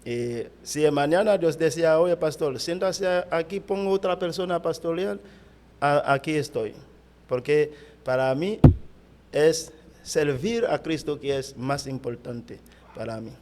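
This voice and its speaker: A middle-aged Haitian man, a pastor whose first language is Haitian Creole, speaking Spanish haltingly with a Haitian accent in an interview. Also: a little high, animated, darkish tone, slight background noise. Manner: halting, searching for words in Spanish. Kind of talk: interview answers.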